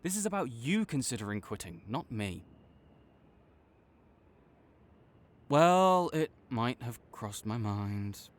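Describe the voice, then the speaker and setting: Man acting a grudging, animated supervillain rival. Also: mid-range voice, measured pace, no echo. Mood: defensive. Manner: tsundere, grudging, exasperated, stammering when sincere. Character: proud, flustered male supervillain with a secret soft spot. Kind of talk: dramatic audio roleplay addressed to the listener.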